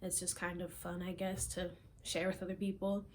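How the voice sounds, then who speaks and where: Chatty young woman, lowish voice. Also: monotone, moderate pace, slight background noise. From South Florida. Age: young adult. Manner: chatty, rambling, frequent ums and soft trailing asides. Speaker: fitness vlogger. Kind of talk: casual chat and workout instruction. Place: small room, plain wall, indoors.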